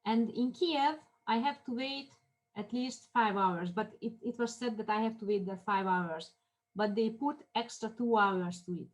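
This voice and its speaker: A woman from Armenia lectures on marketing cases, her voice sitting a little high. Her English is halting, full of restarts and fillers. She keeps a steady classroom pace. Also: low-quality recording.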